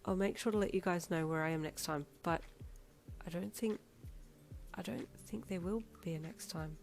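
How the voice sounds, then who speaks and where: A woman's voice: on the low side, normal speed, close to an everyday voice. A woman voicing an emotional young woman moving out of her parents' home in an audio story. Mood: tearful. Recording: faint music behind.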